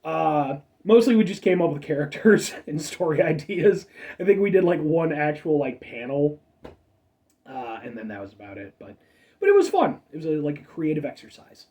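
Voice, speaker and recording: A man's voice: on the high side, very animated, normal speed, warm tone, distant mic. A man in his thirties hosting a casual soda review show, rambling with chatty asides and a slightly stuffed-up nose. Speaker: food review show host.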